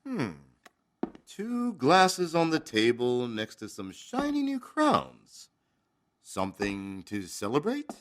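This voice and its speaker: A sly, swooping oily innkeeper. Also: male voice, fairly high pitch, huge pitch swings, fairly bright tone, a little hiss or hum, exaggerated voice. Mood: falsely friendly. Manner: insinuating, drawn-out mock courtesy. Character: sly, oily innkeeper neighbour, falsely friendly, grasping man. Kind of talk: dramatic audio drama acting.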